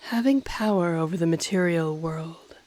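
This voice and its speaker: A woman voicing an ancient, powerful dragon in a fantasy audio roleplay, haughty and intrigued, speaking formally in a breathy half-whisper. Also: lowish voice, darkish tone, lightly acted. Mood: curious, approving. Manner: slow, formal, deliberate, haughty. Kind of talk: scripted audio roleplay, intimate monologue to listener.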